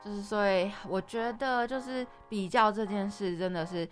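A woman's voice: mid-range voice, steady tone, moderate pace, soft background music. A young woman co-hosting a podcast in casual discussion. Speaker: podcast co-host.

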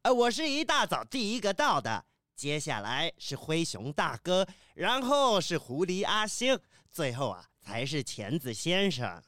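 Animated, kindly older kiwi bird professor, acted out. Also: mid-range voice, measured pace. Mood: hospitable. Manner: hesitant interjections, gently scolding. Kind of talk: children's audio drama acting.

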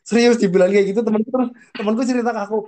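A man's voice: high-pitched, steady tone, very fast, dark tone. A young man with a northern Ngapak Javanese accent, chatting casually as a podcast co-host, rambling with restarts and easy laughter.

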